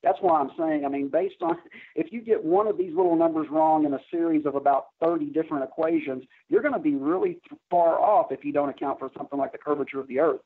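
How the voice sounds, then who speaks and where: A man explaining technical matters conversationally as an interview guest, halting and often restarting his words. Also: fairly high pitch, husky. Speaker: interview guest, air traffic controller. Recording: narrow, tinny phone-like line.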